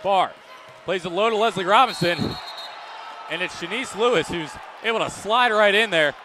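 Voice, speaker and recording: A man calling live college games as a radio play-by-play announcer, energetic and projecting, often raising his voice. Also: high voice, moderate pace, music in the background. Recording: clear live sports venue background noise.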